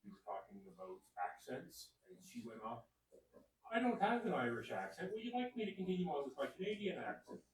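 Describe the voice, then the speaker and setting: A man's voice: high-pitched, very animated, a little fast. A man in the class telling a short anecdote from across the room, in a dry storytelling way. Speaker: class participant. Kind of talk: sharing an anecdote. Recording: distant from the mic, faint.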